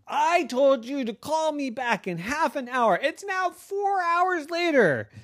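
A woman voicing a bossy, insistent child calling on the phone at midnight, talking loudly and stubbornly. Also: lowish voice, big pitch swings, moderate pace, breathy edge, dry recording, clean studio sound, pitched above the performer's own voice. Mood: scolding. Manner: loud, pushy, stubborn.

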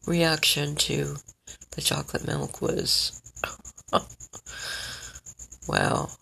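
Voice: medium pitch, creaky, sharp tone, breathy edge. A congested vlogger with a scratchy voice, rambling and checking in with the listener again and again. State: stuffed up, congested. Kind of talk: rambling personal vlog.

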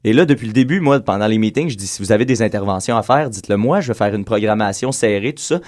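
A man's voice: fairly low pitch, quick pace, darkish tone. A man with a Quebec French accent chatting casually as a radio co-host, explaining things at length in roundabout steps. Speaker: radio co-host and event organiser.

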